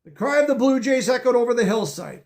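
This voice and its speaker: A man's voice: extremely high pitch, lively intonation, fast talker, darkish tone. A man reading a picture book aloud with expression, stopping now and then to explain words for young listeners. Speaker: storybook reader.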